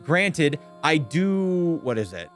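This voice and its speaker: A man streaming, chatting casually and candidly with a clear, smooth voice, rambling and swearing freely. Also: a little high, very animated, slow pace, a bit bright, soft background music. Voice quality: clear voice without gravel. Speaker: VTuber streamer. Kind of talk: casual livestream chat.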